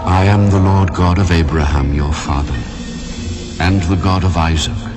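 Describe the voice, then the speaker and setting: A man's voice: deep voice, moderate pace, loud music behind the voice, close to an everyday voice. A man voicing the God of the Bible in a scripture drama, solemn, authoritative and commanding. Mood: solemn promise.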